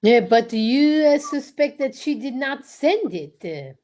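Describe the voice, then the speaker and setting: A woman voicing a shrewd male detective in an amateur radio drama, formal and halting. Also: high voice, sing-song, darkish tone. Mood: inquisitive. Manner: formal, deliberate, halting, peppered with French phrases.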